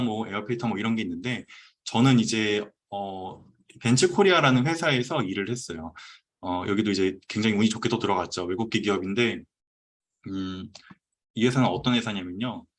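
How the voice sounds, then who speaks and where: A man around thirty giving a relaxed, conversational talk on a video call, pausing often with "uh". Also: lowish voice. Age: 30s. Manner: relaxed, conversational, frequent "uh" pauses. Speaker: guest speaker presenting his business story. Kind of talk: casual presentation on a video call. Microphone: computer mic on a video call.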